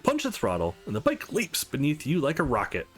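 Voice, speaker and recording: A man reading a visual novel aloud as a let's play host, adding his own commentary in an animated way. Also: fairly high pitch, brisk, husky, faint music behind. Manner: animated, acts out character lines while reading.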